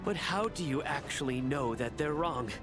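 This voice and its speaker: An actor voicing a weary, doubting survivor on the edge of collapse in a video game, speaking haltingly. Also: male voice, on the high side, animated, close to an everyday voice. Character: worn-out, doubting male survivor near collapse. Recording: clear background music behind the voice.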